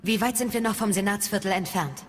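An actress playing a sober, sceptical Jedi master in a radio play, measured and cautionary. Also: female voice, medium pitch, faint music behind, close to an everyday voice. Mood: wary.

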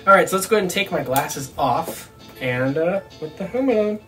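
A man's voice: a little high, lively intonation, brisk, a bit bright, faint music behind. A young man chatting casually to camera as he reviews a toy, rambling and thinking aloud. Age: young adult. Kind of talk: casual unboxing chat to camera. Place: small room at home, indoors.

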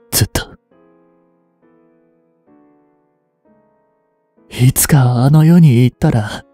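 Guy acting sad young hitman in a voice drama, soft dark breathy voice, anguished and halting, super dry close sound. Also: mid-range voice, no room sound. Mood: tearful remorse. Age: 20s. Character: remorseful young male hitman, tender, grieving and resigned. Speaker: voice actor. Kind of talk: Japanese voice drama monologue, emotional acting.

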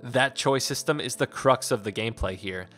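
A man reading a scripted game review in a measured, formal way. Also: mid-range voice, a bit bright. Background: background music.

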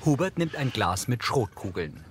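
A man's voice: mid-range voice, sing-song, normal speed, slight background noise. A man reading neutral scripted audio description for a TV drama.

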